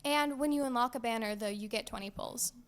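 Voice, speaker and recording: Giggly teenage girl, higher voice. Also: fairly quick. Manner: giggly, hesitant with frequent ums. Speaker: podcast co-host. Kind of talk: casual chat.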